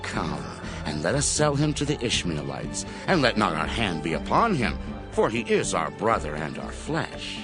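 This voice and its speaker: A man playing a calculating brother in a Bible drama, speaking in a measured, persuasive manner. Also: deep voice, wildly swooping pitch, over music, exaggerated voice. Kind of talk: dramatized Bible audio acting.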